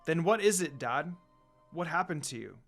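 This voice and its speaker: Gentle anxious young woman as a man reads her, soft, hesitant, storybook reading. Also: on the high side, lively intonation, moderate pace, faint music behind. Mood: worried.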